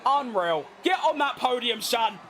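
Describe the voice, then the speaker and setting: Tired, chatty British man, very high voice. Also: expressive, a little slow, pushed and bright, slight background noise. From Britain. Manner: chatty, rambling, self-deprecating. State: tired from being awake all day. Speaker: gaming streamer. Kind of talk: casual live-stream gameplay chat.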